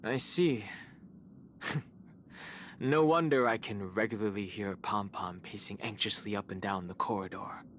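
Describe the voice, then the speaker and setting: An actor playing a calm, serious young man in a video game, guarded, formal and restrained in his speech. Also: lowish voice, measured pace, a little hiss or hum. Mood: thoughtful.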